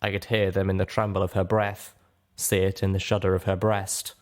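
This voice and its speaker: A man's voice: low voice, flat delivery, brisk. A man reading scripted first-person fiction as an audiobook narrator.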